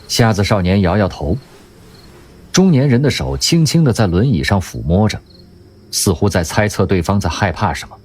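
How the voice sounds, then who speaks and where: Lively male audio drama narrator. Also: lowish voice, normal speed, slightly rough. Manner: expressive storytelling.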